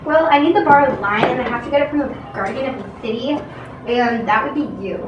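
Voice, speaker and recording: A woman's voice: fairly high pitch, quick pace, muffled recording. A female performer acting out lines in an amateur readers theatre piece. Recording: noisy echoey room from far off.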